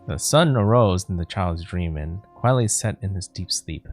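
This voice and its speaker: A man's voice: sits low, animated, normal speed, darkish tone, faint music behind. A man streaming a video game, reading dialogue aloud and commenting as he plays.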